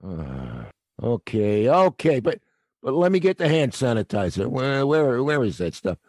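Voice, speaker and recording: An actor playing a gruff theater night watchman with a blunt, working-class New way of talking, in an audio drama. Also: medium pitch, big pitch swings, unhurried, a little mellow, no echo, clean studio sound. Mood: grudging.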